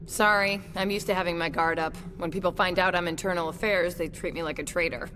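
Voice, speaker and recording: A woman's voice: lowish voice, fairly even intonation, a little fast, slight background noise, close to an everyday voice. A woman playing a wry, businesslike undercover internal affairs investigator in a video game, with a blunt, informal delivery. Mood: relieved, wry. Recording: voice under loud background music.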